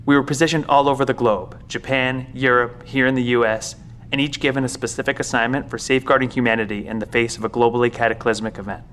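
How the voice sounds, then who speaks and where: A man acting in an audio drama as a weary, secretive professor with a measured, explanatory way of talking. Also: medium pitch, flat delivery, a bit bright, slight background noise, lightly acted. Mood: grave, explanatory. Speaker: professor, secret bunker guardian.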